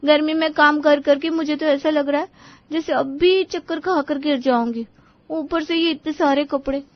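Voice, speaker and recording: Woman voicing a high-pitched grumbling young daughter-in-law. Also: steady tone, moderate pace, dry recording, dull audio, lightly acted. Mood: exasperated, weary. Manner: whiny, pleading, grumbling. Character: exasperated, overworked young Indian daughter-in-law. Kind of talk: Hindi cartoon story voice acting.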